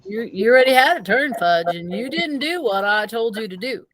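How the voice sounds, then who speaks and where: A woman's voice: on the high side, animated, normal speed, a bit bright, low-quality recording. A woman playing a doubtful adman in a children's storybook, explaining and goading. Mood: challenging. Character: hesitant, challenging adman dealing with children.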